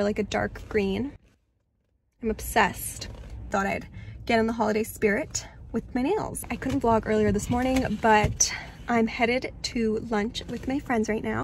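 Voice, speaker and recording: A woman's voice: fairly high pitch, fairly bright tone, a little hiss or hum. A woman in her twenties chatting casually to the camera as a lifestyle vlogger, held at arm's length on a phone. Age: 20s. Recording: music bed under the voice.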